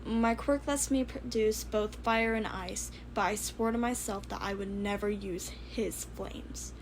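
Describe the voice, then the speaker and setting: A woman voicing a stoic, blunt teenage boy hero student with flat, clipped, deadpan delivery in a story reading. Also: medium pitch, unhurried, noisy background, close to an everyday voice. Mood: flat, determined.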